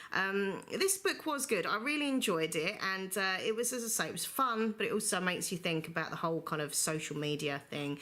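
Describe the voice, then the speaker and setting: A woman with a low-set but bright, forward voice, talking through her reading in a casual, rambling vlog. She leans on fillers like "you know" and still sounds a bit chesty from a recent flu. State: recovering from flu, slight cough. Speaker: book vlogger. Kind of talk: casual book review monologue.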